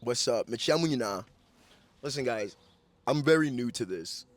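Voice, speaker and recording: A man from Ghana hosting a casual interview for his vlog, excitable and profane, often cutting in. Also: medium pitch, normal speed, dry recording. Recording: distant mic with background noise.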